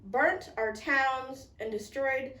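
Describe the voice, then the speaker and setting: A woman's voice: high-pitched, slow pace, sharp tone. A woman giving a formal public reading of a historic document. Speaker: public reader at a commemorative event. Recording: roomy echo, distant microphone.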